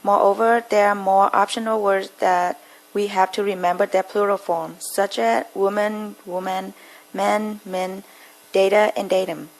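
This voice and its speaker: A woman's voice: mid-range voice, steady tone, a little slow, poor audio. A young woman from Vietnam with a Vietnamese accent, giving a prepared classroom presentation in a formal, carefully enunciated manner. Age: young adult. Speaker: student presenter.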